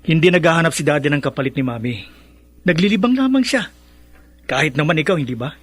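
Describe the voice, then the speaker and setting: A protective older brother in a radio drama. He is a young adult with a slightly high voice, reasoning earnestly to keep the peace. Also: animated, quick pace, a bit bright. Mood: defensive. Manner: placating, earnest. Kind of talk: Filipino radio drama acting.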